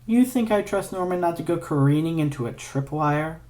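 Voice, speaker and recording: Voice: mid-range voice, animated, normal speed, dark tone, pitched above the performer's own voice, natural voice. A performer voicing a sardonic male villain scientist in an audio story, theatrical and taunting, with a dry drawl. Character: sardonic male villain scientist, needling his old lover.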